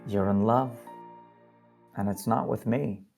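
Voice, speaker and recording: A man acting in an audio drama as a teasing, perceptive husband, with a gravelly, husky voice. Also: lowish voice, fairly even intonation, slow, a little mellow, faint music behind, exaggerated voice. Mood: wry, teasing.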